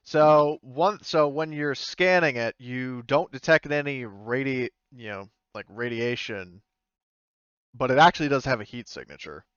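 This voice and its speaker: A man running a tabletop game as game master, narrating casually and haltingly as he searches for his words. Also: mid-range voice, a bit bright, clean tone, no echo, lo-fi sound.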